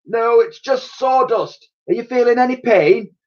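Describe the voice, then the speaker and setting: Man voicing a high, fussing old woman. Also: a little slow. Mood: anxious, fussing. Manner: anxious, exclamatory. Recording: dull, lo-fi sound.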